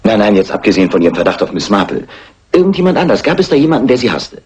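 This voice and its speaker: Low-voiced, businesslike police inspector. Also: male voice, sing-song, a little mellow. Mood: polite, questioning. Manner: measured, official, courteous. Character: businesslike, patient but slightly weary male police inspector. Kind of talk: German radio crime drama acting.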